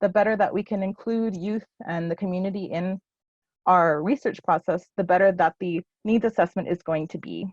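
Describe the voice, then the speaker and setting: A woman's voice: on the low side, normal speed, darkish tone, no room sound, lo-fi sound. A woman giving a casual presentation and answering questions at a virtual symposium, hesitant with many ums and restarts. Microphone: video call.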